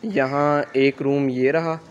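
A man's voice: mid-range voice, steady tone, takes it slowly, muffled recording, a little hiss or hum. Young man touring a campus, hesitant. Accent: Urdu-speaking, Karachi. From Pakistan. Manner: hesitant, thinking aloud with frequent "uh" fillers. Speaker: student vlogger giving a campus tour. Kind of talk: casual walking tour narration. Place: outdoors on a construction site. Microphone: handheld phone camera.

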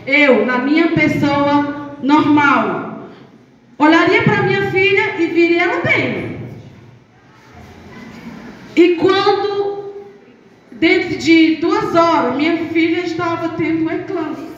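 A woman's voice: very high-pitched, takes it slowly, pauses often, muffled recording. A middle-aged woman, giving an impassioned testimonial speech at a public health event in a large hall. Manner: impassioned, testimonial, raising voice at peaks. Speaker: health council member. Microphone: handheld mic, filmed from far off through speakers. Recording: echoey hall, far from camera.

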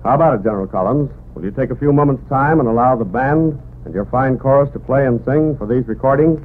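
A male radio announcer of the 1940s speaks formally and with measured phrasing. His middle-pitched voice comes through a thin, narrow-band broadcast recording with faint noise. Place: radio studio.

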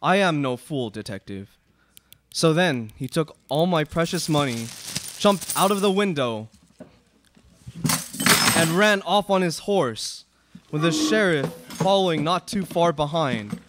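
Pompous timid aristocrat, played by a young man, higher male voice, formal, live radio drama. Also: lively intonation, a little slow, pauses often, background noise. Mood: pompous, flustered. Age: young adult. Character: prim, easily frightened, wordy aristocrat gentleman. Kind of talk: student live radio play reading. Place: small indoor studio. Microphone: close stand microphone.

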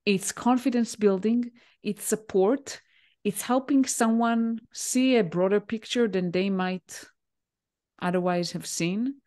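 A woman in her forties from Israel, a native Hebrew speaker, answering interview questions on a video call with a headset, pausing often with fillers. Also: mid-range voice, a little mellow. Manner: thoughtful, pauses with many "uh" fillers. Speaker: guest, leadership coach. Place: home room, indoors.

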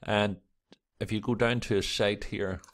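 A man hosting a camera vlog, with a croaky early-morning voice, rambling and reading articles aloud with lots of fillers. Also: on the low side, fairly even intonation, measured pace. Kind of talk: casual screen-recorded commentary.

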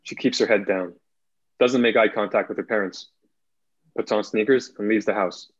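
Low-voiced young man narrating, muffled sound. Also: steady tone, moderate pace, husky, dry recording. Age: young adult. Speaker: narrator reading stage directions. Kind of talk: scripted narration. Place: indoor room at home, video call. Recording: dull, lo-fi video call.

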